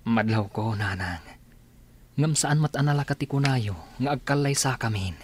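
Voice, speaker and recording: A man's voice: medium pitch, normal speed, slightly rough, lightly acted. A man acting the part of a reluctant, good-natured young adult son in an Ilocano radio drama. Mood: embarrassed.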